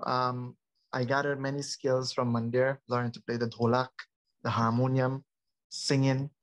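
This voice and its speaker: A man with a Guyanese accent, speaking as a priest on a panel in a measured, earnest, conversational way. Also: mid-range voice, rough recording.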